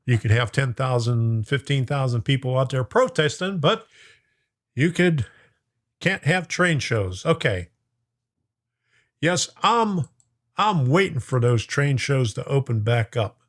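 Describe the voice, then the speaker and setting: A man's voice: medium pitch, animated, slow pace, pauses often. Folksy man hosting a chatty live stream. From lives in Florida. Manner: folksy, chuckling, talks to viewers by name. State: voice getting worn out. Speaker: host of a live stream on model trains. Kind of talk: casual live-stream chat reading viewer comments.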